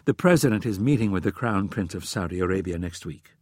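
A man's voice: sits low, lively intonation, fairly quick, a little mellow, no echo, studio quality, pitched below the performer's own voice. A man voicing a composed, shrewd female American intelligence director in an audiobook, with a neutral, controlled manner. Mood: neutral, firm.

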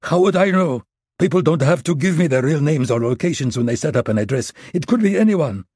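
Man putting on a frantic male captive, pleading, pitched high, husky, close dry sound. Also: animated, brisk, dry recording. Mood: frightened. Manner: pleading, stammering, high and loud. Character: panicked male server owner, cornered and begging.

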